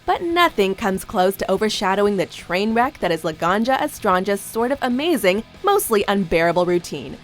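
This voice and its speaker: A woman narrates a countdown list in a polished, scripted voice-over. Her voice sits in a middle range and her delivery is upbeat and punchy, with soft music playing quietly behind her. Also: mid-range voice, very animated, normal speed, hardly any pauses.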